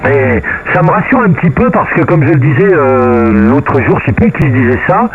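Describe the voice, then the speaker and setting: A man's voice: fairly high pitch, big pitch swings, normal speed. A man chatting casually and colloquially over amateur radio, often repeating his phrases. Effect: radio transmission. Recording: narrow, noisy radio transmission. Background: faint radio noise.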